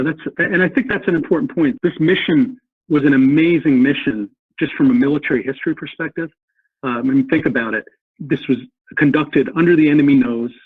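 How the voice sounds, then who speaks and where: A man's voice: mid-range voice, breathy edge, dry recording. A man explaining history earnestly as a podcast guest, often repeating words as he thinks. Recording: tinny phone-like line.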